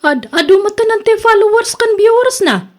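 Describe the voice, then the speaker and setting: A woman's voice: extremely high pitch, expressive, normal speed. An actress with an Ilocano accent playing a stubborn, vain woman vlogger hungry for fame, in theatrical radio drama style. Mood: defiant, self-important.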